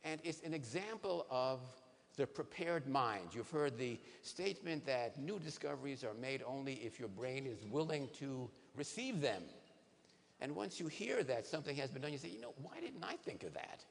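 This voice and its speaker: A man in his sixties, a neurologist, giving a lively science lecture to patients on a conference stage, asking the audience questions. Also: medium pitch, normal speed, vocal fry. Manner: animated, asks the audience questions. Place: large conference hall stage. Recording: reverberant hall, faint noise.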